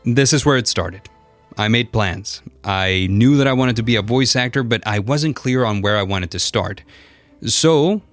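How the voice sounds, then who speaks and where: A man narrating a personal story as a voice actor, in an expressive, dramatic storyteller's delivery. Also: fairly low pitch. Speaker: voice actor and content creator. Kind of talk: personal storytelling with numbered lessons. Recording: music behind the voice. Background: background music.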